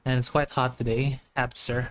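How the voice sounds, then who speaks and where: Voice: medium pitch, flat delivery, moderate pace, slight background noise. A young adult streamer chatting casually and playfully while gaming, rambling along into a streaming microphone. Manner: rambling, playful, singsong exclamations. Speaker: game streamer. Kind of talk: casual livestream chat while playing.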